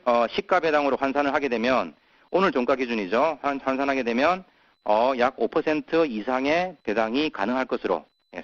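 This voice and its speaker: A man presenting as a company executive on an earnings call, pausing often with filler sounds. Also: mid-range voice. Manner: halting, many filler sounds. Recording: muffled conference call audio.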